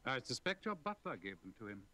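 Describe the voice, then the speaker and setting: An actor playing a shrewd British gentleman detective in a period mystery film, measured, precise and coolly authoritative. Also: mid-range voice, sing-song. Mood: coolly deductive. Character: shrewd, composed gentleman detective of Victorian England. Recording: film playback with faint background noise.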